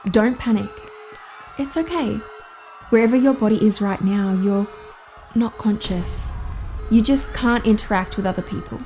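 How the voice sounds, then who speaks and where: A woman's voice: mid-range voice, moderate pace, long pauses, warm tone, soft background music, lightly acted. A woman acting the ghost of a young woman, frank and matter-of-fact, in an audio drama. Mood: calming, firm.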